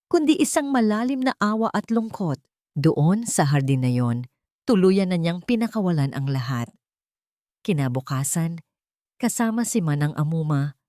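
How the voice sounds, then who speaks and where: Female storyteller for audio drama, low and lively, dry booth sound. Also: no room sound. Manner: measured, dramatic pacing with expressive rises. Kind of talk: scripted dramatic narration.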